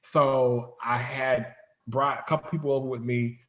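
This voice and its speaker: An echoey recording of a man speaking as a guest in a casual interview. His voice sits at an ordinary middle pitch. He tells things loosely and informally, wandering through his account. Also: fairly even intonation, a little slow, fairly bright tone, dull audio. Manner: informal, rambling storytelling with frequent "like". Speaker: interview guest, comics company founder.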